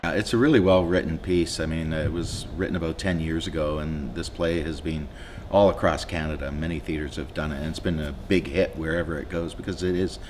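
A middle-aged man talks as an actor in a promotional interview. His voice is very deep and conversational, with hesitant pauses. There is faint noise behind him. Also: fairly even intonation, a little mellow. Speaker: stage actor. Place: indoor theatre set.

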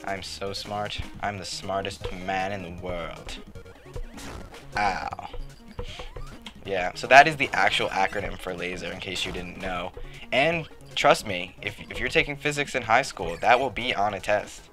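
A young man giving rambling, joking commentary while playing a game, his voice a little raspy. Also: low-pitched, measured pace, sharp tone. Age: late teens to young adult. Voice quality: slightly raspy. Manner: joking, rambling, self-mocking. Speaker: let's play commentator. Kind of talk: casual gameplay commentary. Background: game music.

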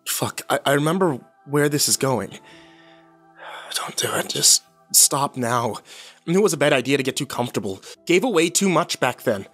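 A man's voice: mid-range voice, expressive, normal speed, a bit bright. An actor playing a weary, haunted, cynical man who broods and swears through a first-person horror audio drama. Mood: dread, panic. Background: music behind the voice.

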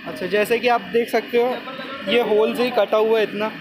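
A young man with a very high-pitched voice hosts a casual vlog. He is inquisitive and echoes explanations back. He is recorded on a phone outdoors, with clear machinery and vehicle noise around him. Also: flat delivery, moderate pace. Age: young adult. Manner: inquisitive, repeats what he is told back. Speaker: vlogger, host. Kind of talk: casual on-location vlog commentary and questions. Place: outdoors in an open stone quarry. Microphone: handheld phone at arm's length.

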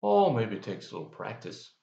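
Older man, gravelly folksy devotional. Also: fairly low pitch, huge pitch swings, brisk, dark tone, echoey room, lo-fi sound. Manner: folksy, conversational, asks the listener questions. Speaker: pastor. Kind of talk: devotional sermon. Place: office, indoors, seated in leather chair.

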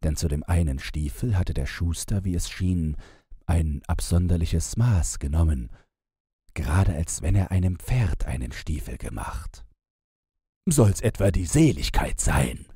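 Deep, slow male storyteller. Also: mellow, breathy edge, no room sound, clean studio sound. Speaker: audiobook narrator. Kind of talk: scripted fairy-tale narration for bedtime.

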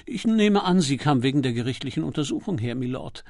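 A man's voice: medium pitch, expressive, a little fast, darkish tone, dry recording, clean studio sound, natural voice. A man voicing a dignified, deferential but stubborn manservant in an audiobook, formal and firm. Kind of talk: audiobook character voice.

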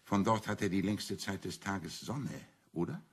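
An actor playing a shrewd priest and amateur detective in a German radio crime drama, explaining deliberately and with self-assurance. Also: male voice, sits low, slightly rough. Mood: confident, explaining.

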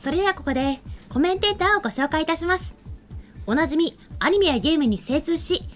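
Female MC, young, very high voice, polite studio presenting over faint music. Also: lively intonation, quick pace, fairly bright tone. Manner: polite, formal. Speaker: show MC. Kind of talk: scripted presenting and co-host chat. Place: small indoor studio set. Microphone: desk microphone.

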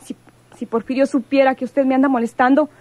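A woman's voice: sits high, level tone, a little fast, darkish tone, no echo. A woman playing a modest, earnest young village woman, wary but firm, in a radio soap opera. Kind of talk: Spanish-language radio soap opera acting.

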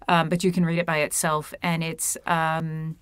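A woman's voice: deep voice, little pitch movement, normal speed, smooth, no echo. Chatty woman guest on a video call. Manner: chatty, self-deprecating, many fillers. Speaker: author and puppeteer guest. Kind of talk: casual video-call interview. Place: home room with a red curtain. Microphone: wired earphone mic on a video call.

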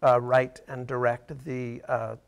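An American man in his sixties from Montana speaks in a gravelly, mid-pitched voice. He explains things in a casual, conversational way as an interview guest. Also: little pitch movement, takes it slowly. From Montana, United States. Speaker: interview guest, theater writer and director. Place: TV studio.

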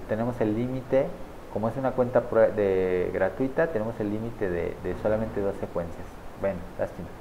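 A man with a fairly low voice talks through a screen-share tutorial at his desk, relaxed and conversational, pausing often to check that viewers follow. The audio is a bit dull with a faint hum of the room behind him. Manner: casual, talks viewers through clicks with frequent "¿vale?" and "¿sale?" checks. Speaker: online marketing tutor. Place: small indoor room at a desk.

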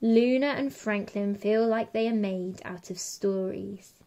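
A woman reading a children's picture book aloud as a teacher would, in an expressive, measured way. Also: mid-range voice. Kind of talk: children's storybook read-aloud.

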